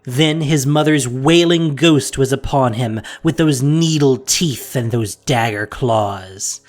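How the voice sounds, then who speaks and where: A man reading scripted fantasy story narration with expressive delivery. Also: medium pitch, slow.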